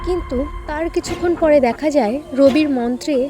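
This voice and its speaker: A woman's voice: sits high, brisk. A woman narrating a scripted cartoon story.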